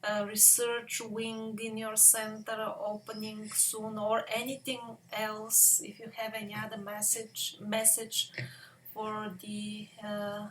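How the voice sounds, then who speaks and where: Female interviewer, unhurried, polite delivery, recorded a bit far from the mic with faint noise behind. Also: medium pitch, completely flat delivery, fairly bright tone. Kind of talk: polite, informal interview questioning.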